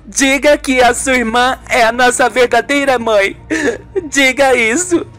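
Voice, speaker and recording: A woman's voice: high-pitched, unhurried, fairly bright tone, soft background music, pitched above the performer's own voice. A woman voicing an emotional young niece with dramatic pleading in a radio soap. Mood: tearful pleading. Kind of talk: amateur radio soap acting.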